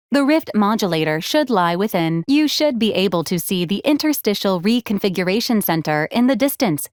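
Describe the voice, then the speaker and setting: Game robot assistant, woman actor: mid-range female voice, unfailingly polite, officious wording, droll deadpan, bone-dry studio sound. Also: medium pitch, no room sound, close to an everyday voice. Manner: formal, procedural, deadpan. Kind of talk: scripted video game character lines.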